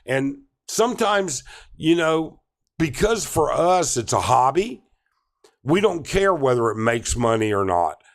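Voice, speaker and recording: Middle-aged man, unhurried stream host, hesitant and rambling, mid-pitched. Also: very animated. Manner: halting, many ums and restarts, reads chat aloud. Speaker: livestream host. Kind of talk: casual live Q&A reading chat.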